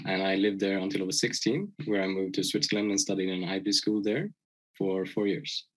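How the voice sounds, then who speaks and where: A young man from Sweden, a third-year student, introducing himself on an online panel in a relaxed, slightly halting way. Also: low-pitched, low-quality recording. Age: young adult.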